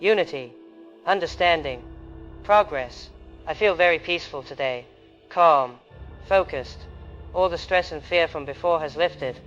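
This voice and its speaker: An actor playing an eerily serene researcher, recording a calm, measured, detached diary entry for an audio drama. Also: male voice, a little high, soft background music. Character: eerily serene male researcher, secretly changed, logging recordings. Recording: dull lo-fi log recording.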